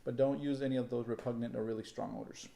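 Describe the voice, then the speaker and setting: A man in his thirties co-hosting a casual advice chat, explaining steps in a steady, practical way. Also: medium pitch, fast, creaky. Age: 30s. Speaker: real estate agent, co-host. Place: indoor room set, seated at a table.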